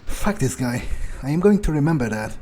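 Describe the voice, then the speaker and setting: A man playing a haughty, irritable fledgling vampire in an in-character video game playthrough, grumbling in a halting, self-important way. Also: medium pitch, very animated, mellow. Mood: menacing, indignant. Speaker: gamer roleplaying his character. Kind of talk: in-character let's play monologue.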